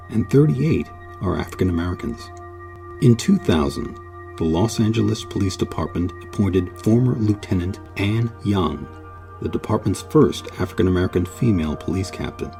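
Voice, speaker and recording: A man hosting and narrating a scripted history documentary in a measured, solemn manner. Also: low-pitched, creaky, mellow. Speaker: host and narrator.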